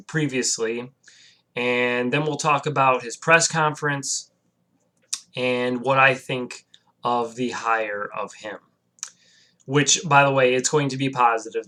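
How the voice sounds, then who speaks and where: Young dude rambling about college football, lots of uh and um, just over a cold. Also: male voice, medium pitch, pushed and bright. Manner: rambling, with many fillers and self-corrections. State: recovering from a cold. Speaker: sports vlogger. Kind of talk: casual video commentary.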